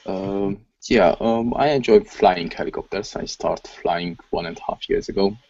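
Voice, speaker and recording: A man with a Hungarian accent, a guest on an online call, talking hesitantly with many pauses. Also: deep voice, darkish tone. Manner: hesitant, many ums, simple phrasing. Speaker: guest listener. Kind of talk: casual chat. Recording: dull lo-fi call audio.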